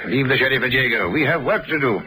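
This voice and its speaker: Man acting a stuffy British City chairman, lively intonation. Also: mid-range voice, fast, very noisy, close to an everyday voice. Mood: affable, self-satisfied. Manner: formal, droning boardroom delivery. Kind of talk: vintage radio drama acting. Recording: vintage radio drama, bar chatter behind.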